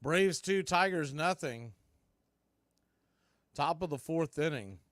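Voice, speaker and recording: High-pitched man calling baseball play-by-play. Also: lively intonation, takes it slowly, fairly bright tone, dry recording, studio quality. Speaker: play-by-play baseball announcer and stream host. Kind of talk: live play-by-play commentary.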